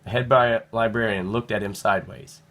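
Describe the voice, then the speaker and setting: A man narrating a children's storybook in an expressive read-aloud style. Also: fairly low pitch, brisk.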